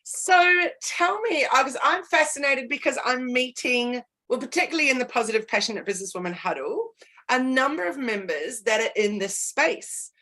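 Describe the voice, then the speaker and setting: A woman's voice: high voice, animated, normal speed, sharp tone. A woman in her forties with a New Zealand accent, hosting a casual interview podcast over a video call, chatty and asking long, rambling questions. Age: 40s. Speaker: podcast host.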